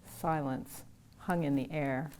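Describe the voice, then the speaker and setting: An older woman reads a children's story aloud at an unhurried, deliberate pace. Her voice sits a little low, and she lets each line land before moving on. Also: fairly even intonation, warm tone, poor audio. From Canada. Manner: slow, measured reading with chanted lines. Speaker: storytime reader. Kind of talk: reading a picture book aloud.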